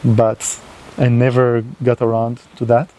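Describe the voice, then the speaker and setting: A man's voice: medium pitch, completely flat delivery, unhurried, mellow, slight background noise, low-quality recording. A man hosting his own channel, talking unscripted to the camera in a non-native language, hesitant with many fillers and restarts. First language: not English. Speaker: channel host. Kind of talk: unscripted solo talk to camera.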